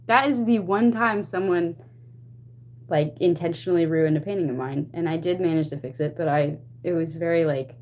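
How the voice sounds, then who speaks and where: A woman chatting casually to stream viewers, retelling a personal story in an animated, expressive way. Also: on the low side. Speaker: livestream host.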